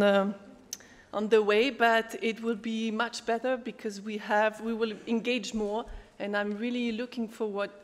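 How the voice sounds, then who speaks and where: A woman moderating a conference round table, speaking in halting with frequent fillers and restarts. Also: fairly high pitch. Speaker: panel moderator. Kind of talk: conference talk, prepared remarks. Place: large conference hall. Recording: echoey hall with long reverb.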